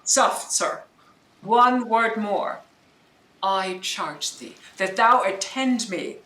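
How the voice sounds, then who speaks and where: Bright, imperious sorceress mother , acted by a woman. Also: mid-range voice. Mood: stern. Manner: measured, imperious. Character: commanding, scheming sorceress mother, stern and imperious. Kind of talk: Shakespearean audio drama acting. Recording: echoey room, online home recording.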